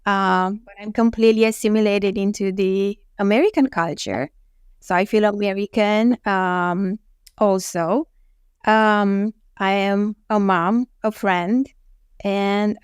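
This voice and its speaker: A woman from Romania, a guest in a casual interview, speaking in a calm, reflective and measured way. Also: medium pitch, clean tone, no echo, clean studio sound.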